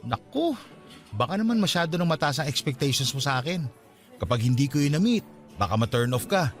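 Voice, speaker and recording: An actor playing an earnest, eager suitor on a date in a radio drama, speaking conversationally with a Filipino accent. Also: male voice, a little high, very animated, brisk, faint music behind. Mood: flattered, playful. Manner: courting, conversational.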